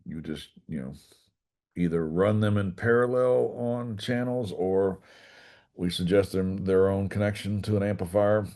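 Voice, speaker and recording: Guy with a deep mellow voice walking a class through software setup, kinda folksy. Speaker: class instructor. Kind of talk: informal technical software demonstration.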